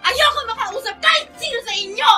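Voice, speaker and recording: An actress in a Filipino radio drama playing a volatile, spiteful young heiress with a melodramatic, demanding manner. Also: female voice, extremely high pitch, expressive, brisk, bright, forceful tone, soft background music, exaggerated voice. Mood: furious, tearful. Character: spiteful, volatile young heiress raging over being adopted.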